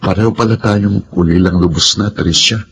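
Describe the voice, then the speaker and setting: An actor playing a gentle, hardworking young suitor in a polite, sincere Filipino radio drama style. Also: male voice, fairly low pitch, fairly even intonation, normal speed, noisy background, lightly acted. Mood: friendly, sincere.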